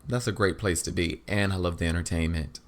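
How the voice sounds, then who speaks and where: A performer voicing a courteous, softly flirtatious male postman in an audio drama, pitched down from their usual voice. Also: steady tone, fairly quick, close to an everyday voice. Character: smitten, polite postman, smooth-talking suitor, a man.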